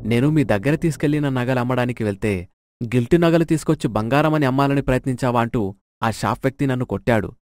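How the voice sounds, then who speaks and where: A man's voice: mid-range voice, quick pace, darkish tone, no echo, lightly acted. Man playing a remorseful thief, close dry sound. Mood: earnest. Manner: earnest, explanatory, apologetic. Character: remorseful, earnest male thief telling his hard story.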